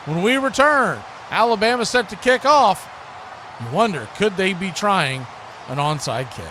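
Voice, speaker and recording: A high-pitched man announces football play by play. He works in short, punchy phrases. Clear background noise sits behind the live stream sound. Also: expressive, a little slow.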